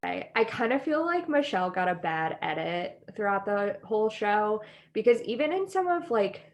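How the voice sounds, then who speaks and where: Very animated American woman, casual podcast talk. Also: medium pitch, normal speed. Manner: informal, expressive, quotes her own reactions. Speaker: podcast co-host. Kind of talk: casual chat recapping a reality TV show.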